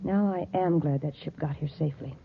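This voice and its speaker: Low husky scheming space boss. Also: female voice, fairly quick, exaggerated voice. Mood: smug. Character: vain, scheming female boss of space line. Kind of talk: 1950s radio serial acting. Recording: tinny, phone-like old radio recording.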